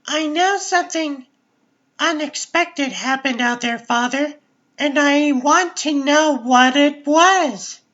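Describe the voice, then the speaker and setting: A woman's voice: high voice, takes it slowly, fairly bright tone, dull audio. A woman playing a bold, questioning young daughter of a ruler in a comic dub, persistent and matter-of-fact. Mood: insistent. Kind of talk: dramatic comic dub acting.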